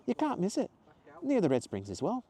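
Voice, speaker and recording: A man voicing a bubbly, eccentric woman shopkeeper in her thirties, loud and gushing. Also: high voice, huge pitch swings, a little fast, pitched above the performer's own voice, exaggerated voice.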